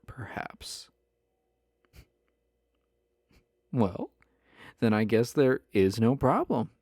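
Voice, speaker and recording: A man playing a slow-talking, flirty yandere husband. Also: on the low side, dark tone, dry recording, clean studio sound, exaggerated voice. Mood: sly, menacing. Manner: teasing, coy, smooth-talking with mock innocence. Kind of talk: intimate roleplay audio addressed to the listener.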